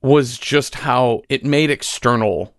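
A man in a casual, reflective interview as a guest, talking in a halting way as he thinks aloud. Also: medium pitch, no echo. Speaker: podcast guest, fiction writer.

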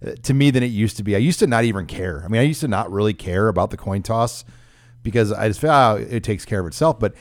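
A man's voice: lowish voice, expressive, quick pace, no room sound. A man with an American accent hosting a conversational sports talk show. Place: studio.

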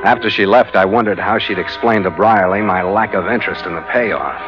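An actor playing a tough, sardonic ship's skipper in an old radio adventure, with clipped delivery. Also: male voice, fairly low pitch, brisk, lightly acted. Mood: grim narrating. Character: hard-boiled, wry ship's skipper and adventurer. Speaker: lead actor and first-person narrator. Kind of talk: 1940s radio adventure acting and narration. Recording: old muffled radio recording with music.

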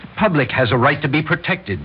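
An actor with an American accent playing a domineering, scheming middle-aged political boss, smooth and insistent, in classic radio drama style. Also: male voice, a little high, animated, quick pace. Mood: stern, insistent. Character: domineering, scheming older political power broker, the Major. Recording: muffled old radio recording, faint hiss.

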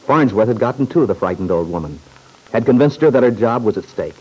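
An actor narrating an old-time radio courtroom serial as a grave, dramatic storyteller. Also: male voice, fairly low pitch, lively intonation, a little fast, warm tone, breathy edge, rough recording.

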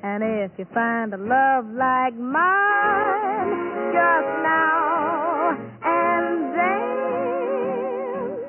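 A high female voice sings a very slow ballad in 1940s style. Loud band music plays along on a tinny old radio recording. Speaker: singer.

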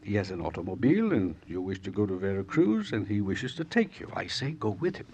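An actor playing an aging, vain but genial exiled Russian revolutionary, deliberate and halting, in an old radio drama. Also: male voice, fairly low pitch, sing-song, darkish tone. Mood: genial, courtly. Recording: vintage radio recording with faint noise.

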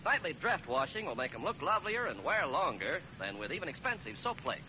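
Muffled old radio sound with clear noise. An American man delivers a vintage commercial in a high, animated voice, chummy and emphatic as he sells. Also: fairly quick. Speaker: radio announcer. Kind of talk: 1940s radio commercial announcing.

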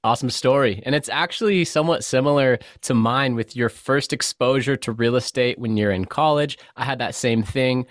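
A man's voice: medium pitch, normal speed, hardly any pauses, dry recording. Man hosting a podcast, close dry sound. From southern California. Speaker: podcast host. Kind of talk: casual interview chat.

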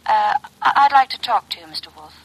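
An actress playing a guarded, proud young society lady, husky, through a telephone filter in an old-time radio drama. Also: a little high, lively intonation, fast talker, low-quality recording. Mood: uneasy, urgent.

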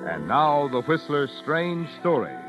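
Slow, ominous radio announcer. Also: male voice, a little high, very animated, over music. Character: ominous, portentous male mystery show announcer. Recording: muffled vintage radio broadcast.

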